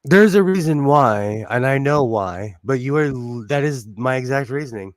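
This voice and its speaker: A middle-aged man hosting a casual live stream, chatting and laughing often with quick asides. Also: medium pitch, animated, dry recording, clean studio sound. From Mexican-American family. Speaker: livestream host.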